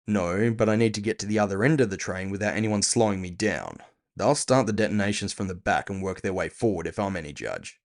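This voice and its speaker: A man playing a gruff, impatient cyberpunk bounty hunter, terse and sardonic, in an audio drama. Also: fairly low pitch, lively intonation, fairly quick. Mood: businesslike.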